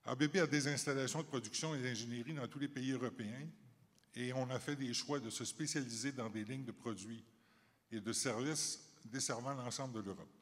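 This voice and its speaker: A middle-aged man from Canada, giving measured, formal panel remarks with occasional hesitations. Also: mid-range voice. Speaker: company chief on a panel. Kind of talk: prepared panel remarks, then answering questions. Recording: reverberant conference hall.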